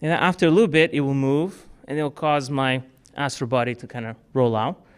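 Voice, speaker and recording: A man's voice: mid-range voice, steady tone, fairly quick, smooth. A man from Portugal giving a talk to a club audience, answering questions in a casual way with many fillers and restarts. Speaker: speaker. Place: club meeting room.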